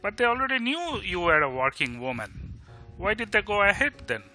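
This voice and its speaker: Man acting blunt, sarcastic Bengali bachelor for audio drama; very bright, forceful voice, extremely quick, faint music behind. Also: high voice, big pitch swings, heavily acted. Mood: incredulous, sarcastic. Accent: Indian (Bengali). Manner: sarcastic, emphatic, switches between English and Bengali. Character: blunt, sardonic, loyal bachelor friend, Indian man.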